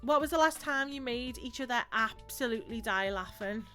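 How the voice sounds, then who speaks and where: A woman's voice: a little high, a little fast, bright, forceful tone, soft background music. A woman hosting a live stream, chatting casually and laughing often, with lots of fillers. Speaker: streamer host.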